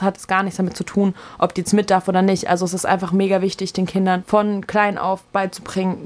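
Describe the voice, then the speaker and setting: A woman's voice: lowish voice, darkish tone. A young woman presenting explanatory commentary in an earnest, colloquial way.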